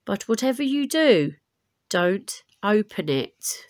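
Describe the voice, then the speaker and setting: A woman voicing a brisk, burdened male messenger of the gods in a storybook, speaking emphatically. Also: mid-range voice, big pitch swings. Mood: urgent.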